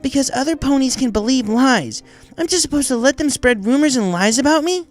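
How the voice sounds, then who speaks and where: A man voicing a hot-tempered, defiant teenage girl pegasus in a story reading, with loud, angry protests. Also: extremely high pitch, lively intonation, brisk, no room sound, soft background music, pitched above the performer's own voice.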